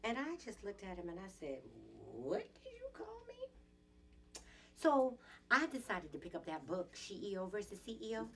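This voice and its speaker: Sassy, animated businesswoman, acted by a woman. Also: medium pitch, fairly quick, close to an everyday voice. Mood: defiant, sassy. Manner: animated, theatrical, emphatic. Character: indignant, sassy businesswoman confiding in a girlfriend. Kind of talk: improvised dramatic monologue with poetry.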